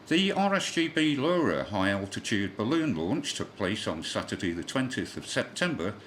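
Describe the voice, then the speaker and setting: A man's voice: fairly low pitch, fairly bright tone. A man reading a scripted amateur radio news bulletin as a newsreader, with the occasional slip. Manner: spells out callsigns in phonetic alphabet, occasional slips. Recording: clearly noisy shortwave radio broadcast.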